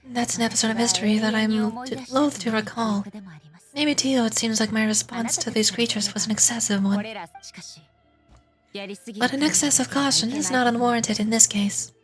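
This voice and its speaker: A woman's voice: a little high, fairly even intonation, normal speed, music in the background, lightly acted, low-quality recording. A woman voicing a grave, commanding goddess ruler, reading video game dialogue aloud in a formal manner. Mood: resolute. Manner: solemn, formal.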